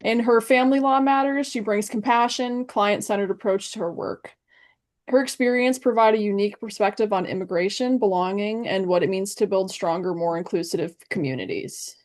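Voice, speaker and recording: A woman intern reads a guest introduction in a polite, formal way, with a mid-pitched, ordinary voice. Her delivery is careful and scripted, with a few small slips. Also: normal speed, a little mellow. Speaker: social justice intern, interviewer.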